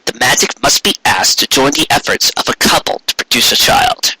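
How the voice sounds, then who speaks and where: A man delivers scripted narration with expressive, theatrical stresses. His voice is very bright and tense, slightly rough rather than clean, in a dry recording. He slips into wry asides as he reads. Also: medium pitch, moderate pace, no room sound, rough recording. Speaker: fan fiction reader.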